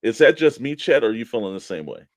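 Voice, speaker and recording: An American man speaks as a co-host in casual radio-show chat. His delivery is informal and emphatic, with a middling pitch and a dry sound free of room reverb. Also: big pitch swings, fast. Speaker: co-host of a toy collecting show.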